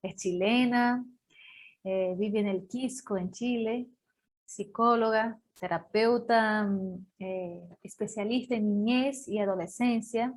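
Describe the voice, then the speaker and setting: A woman hosting an online talk. She introduces her guest, often stops and corrects herself, and stumbles over names. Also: mid-range voice. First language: Portuguese. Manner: halting, self-correcting over names and words. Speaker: host.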